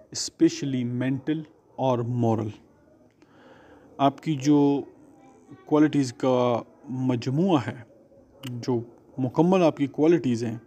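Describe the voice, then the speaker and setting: A man with a Pakistani accent lecturing students as a law teacher, explaining deliberately and repeating and rephrasing his points. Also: mid-range voice, dark tone. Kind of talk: exam-preparation lecture to students, mixing Urdu and English.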